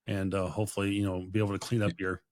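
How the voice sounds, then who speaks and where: A man's voice: sits low, little pitch movement, rapid-fire, a little mellow. A man hosting a podcast in casual interview chat, effusive and rambling, often repeating himself. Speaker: podcast host.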